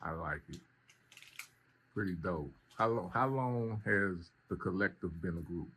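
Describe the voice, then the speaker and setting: A man's voice: sits low, a little slow, a bit bright. A man hosting a casual interview, speaking in an informal, slangy way. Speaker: interviewer, show host. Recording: noticeable background room noise.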